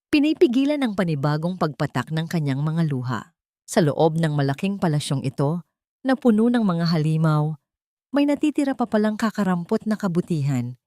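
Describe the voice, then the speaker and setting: A woman narrating a dramatic scripted story in a measured, solemn, melodramatic way. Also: low-pitched, warm tone, no room sound, clean studio sound.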